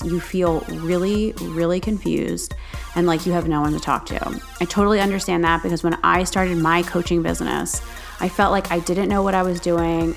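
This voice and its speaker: A woman hosting a podcast, speaking directly to her listeners in a slightly raspy voice. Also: on the low side. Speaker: podcast host and business coach. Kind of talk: podcast monologue, promotional then casual chat.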